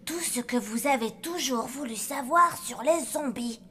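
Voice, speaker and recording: A woman's voice: high-pitched, a little slow, noisy background. A woman voicing a chatty, cheeky schoolboy in a French cartoon, with casual, slangy delivery. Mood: sarcastic. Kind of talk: French animated cartoon dub acting. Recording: loud music behind the voice.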